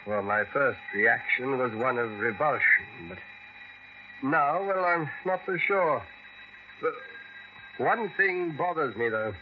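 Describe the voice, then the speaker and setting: A man playing a proud, pensive academic philosopher in a radio drama, speaking in a hesitant, deliberate way. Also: medium pitch, animated. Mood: deliberate resolve. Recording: dull, slightly noisy vintage radio recording.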